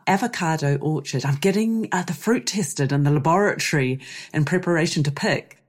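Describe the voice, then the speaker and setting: A woman hosting a podcast, hoarse from losing her voice, explaining things in a lively, chatty way. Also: low-pitched, moderate pace. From New Zealand. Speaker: podcast host. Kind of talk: solo podcast chat.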